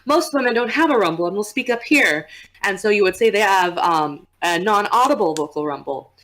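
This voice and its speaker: A young woman with a low, relaxed voice and an audible vocal rumble, chatting casually and laughing often as a podcast guest. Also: brisk.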